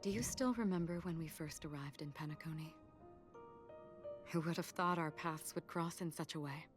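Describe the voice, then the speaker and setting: A woman voicing a calm, solemn wandering swordswoman in an anime game, composed and wistful. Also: low-pitched, moderate pace, natural voice. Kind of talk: anime game dialogue, dramatic. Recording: game music behind.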